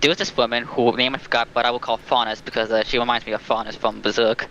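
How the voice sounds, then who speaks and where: A man with a bright, forceful tone chats as a guest on a livestream call. He rambles and hesitates as he explains. The sound is somewhat dull and lo-fi, with faint noise. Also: medium pitch, flat delivery. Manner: halting, rambling. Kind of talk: casual chat, recounting a plot.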